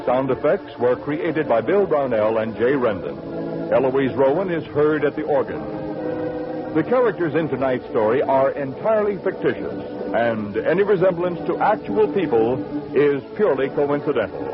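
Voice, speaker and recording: A man working as a radio announcer, reading formally in a measured, polished 1940s style. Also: medium pitch, big pitch swings. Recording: dull vintage radio recording over music.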